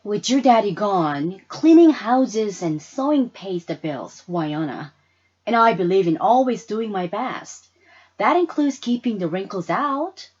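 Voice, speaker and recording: A woman's voice: medium pitch, very animated, unhurried, a little mellow, husky, dull audio. A woman voicing a hard-working, firm but caring mother in a storybook reading. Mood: firm, proud.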